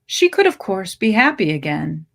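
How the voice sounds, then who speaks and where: A woman reading literary fiction aloud as a story narrator, with measured, deliberate phrasing. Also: medium pitch, big pitch swings, gravelly, a bit bright. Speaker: story reader.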